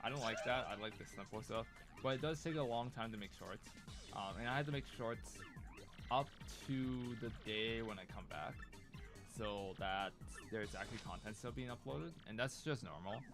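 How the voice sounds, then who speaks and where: A man's voice: on the low side, normal speed. A man whose first language is Cantonese, talking casually while playing a game. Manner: chatty, thinking out loud. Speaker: gaming streamer. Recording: game music clearly behind voice. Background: video game music.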